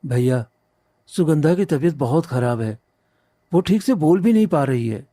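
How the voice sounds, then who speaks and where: A man playing a devoted, trusting young village husband in a cartoon story, earnest and gentle. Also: fairly high pitch, expressive, normal speed, dry recording. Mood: worried. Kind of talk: cartoon moral story acting.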